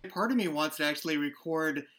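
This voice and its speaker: Bright rambling man. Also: fairly high pitch, fairly quick. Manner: rambling, self-mocking, swears casually. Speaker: podcast creator, interviewer. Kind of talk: casual interview chat.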